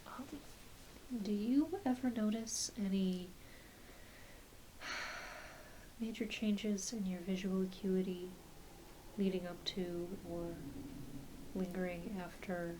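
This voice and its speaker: A woman playing a gentle, reassuring doctor in an ASMR exam roleplay, speaking softly and hesitantly, often half-whispering. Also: fairly low pitch, slow pace, long pauses, rough recording. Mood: calm, reassuring. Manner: soft, half-whispered, hesitant, apologetic bedside talk. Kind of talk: soft-spoken ASMR medical exam roleplay. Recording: faint steady hiss behind close voice.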